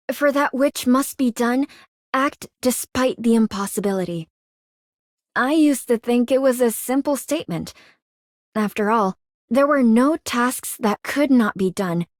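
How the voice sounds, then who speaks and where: A woman voicing a principled, composed young woman in a video game, formal and sincere in a measured way. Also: a little high, lively intonation, no echo, clean studio sound. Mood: solemn, sincere. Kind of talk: video game character voice lines.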